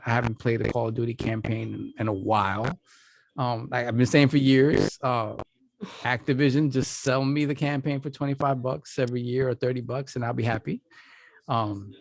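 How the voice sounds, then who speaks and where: A tired man with a gravelly, worn-out voice, co-hosting a casual gaming podcast and thinking aloud with many restarts. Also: medium pitch, dry recording. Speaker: podcast co-host.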